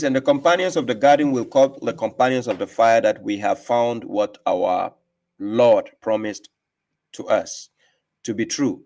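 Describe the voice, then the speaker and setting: A man with a mid-pitched voice hosts a live religious stream. He reads verses aloud slowly and with weight, then explains them in plain terms, often checking in with a quick "right?". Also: a little mellow. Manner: reads scripture aloud with slow emphasis and explains it; asks rhetorical "right?" often. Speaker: host and religious teacher.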